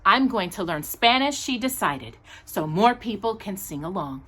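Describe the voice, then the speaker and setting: Female storytime reader, husky tone, lively intonation, expressive emphasis for a picture book audience of children. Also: medium pitch. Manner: animated, emphatic storytelling with pauses on key words. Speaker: storytime host reading a children's book aloud.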